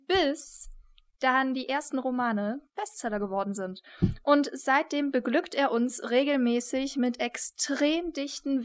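A woman in her thirties hosting a semi-formal but conversational interview, speaking into a desk microphone. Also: sits high. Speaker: interview host. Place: indoor room, on a video call.

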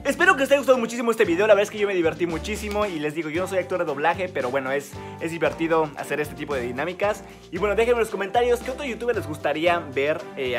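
High-voiced young Mexican guy vlogging. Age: young adult. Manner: animated, joking. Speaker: YouTuber. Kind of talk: casual vlog chat. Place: small home room.

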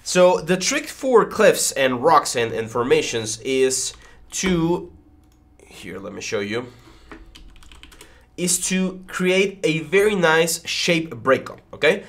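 A man's voice: on the high side, very animated, slow pace, a bit bright. A man in his thirties, a little congested, teaching 3D modelling in a casual tutorial, rambling with lots of fillers and stammers, recorded on a headset mic in a small home studio. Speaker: 3D modelling instructor. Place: small home studio room with foam panels. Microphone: headset with boom mic.

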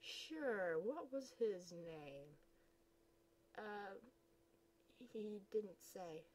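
A woman's voice: mid-range voice, animated, drawn-out words, darkish tone, a little hiss or hum. A woman voicing a skeptical schoolkid who mocks in a dry tone. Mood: sarcastic. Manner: dry, mocking.

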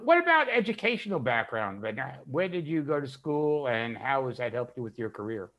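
An older man hosting an interview over a video call, asking measured questions as a publisher. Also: mid-range voice, big pitch swings. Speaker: interviewer, trade news publisher. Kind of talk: scripted introduction and interview questions. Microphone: wired earbuds headset. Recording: slightly dull video call audio.

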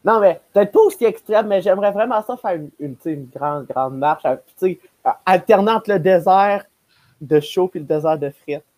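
Higher-pitched young Québécois man. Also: expressive, normal speed, a little mellow, dry recording. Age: young adult. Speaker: podcast panelist. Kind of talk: casual chat. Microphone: headset or earbuds on a video call.

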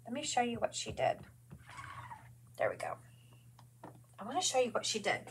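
A woman's voice: fairly high pitch, wildly swooping pitch, normal speed, vocal fry, a little hiss or hum. A woman in her forties hosting a live craft demonstration from her studio, chatty and gushing, greeting viewers by name as she works.

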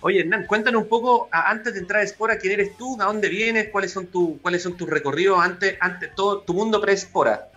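A man's voice: sits high, a little fast, few pauses, bright, forceful tone. A young man hosting an interview, asking friendly, loose questions over a video call. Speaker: interview host. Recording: dull lo-fi call audio, faint music.

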